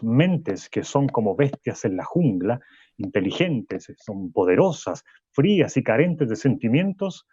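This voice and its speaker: An actor playing a radio host who delivers a grandiose conspiracy monologue, going from fast to slow. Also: male voice, mid-range voice, dark tone, husky, no echo. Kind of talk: radio drama acting. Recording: dull, muffled studio sound.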